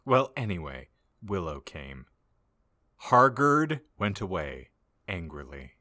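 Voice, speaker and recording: A man's voice: sits low, big pitch swings, takes it slowly, bright, forceful tone. A man narrating comic fan fiction as a podcast host, dramatically reading out garbled misspellings as written.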